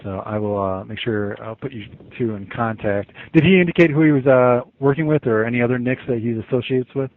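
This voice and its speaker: A man's voice: medium pitch, fairly quick, few pauses. An American man speaking courteously and professionally as a federal agent on a work conference call over a telephone line. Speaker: FBI agent. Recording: muffled phone conference call recording.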